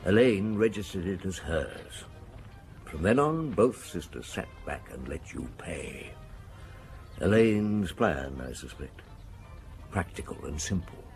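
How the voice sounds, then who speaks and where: A seasoned French police detective in an audio drama. His low voice moves expressively, yet he stays calm, shrewd and deliberate, stating things bluntly. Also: soft background music, exaggerated voice. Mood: measured, blunt. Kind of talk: radio drama acting.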